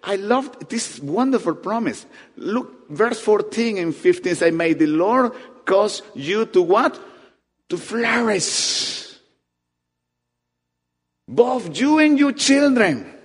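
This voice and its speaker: Animated preacher in a reverberant hall. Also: male voice, high-pitched, takes it slowly, pauses often, breathy edge. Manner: animated preaching with rising emphatic peaks and soft asides. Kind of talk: sermon. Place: church hall. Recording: long reverb of a church hall.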